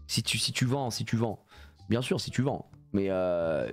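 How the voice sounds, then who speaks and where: A man's voice: on the low side, measured pace, faint music behind. A man in his thirties with a cold, streaming a game live and joking loosely with chat, often swearing. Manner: animated, jokey, swears a lot, reads chat aloud. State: congested with a cold. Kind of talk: live gameplay stream chatting with viewers.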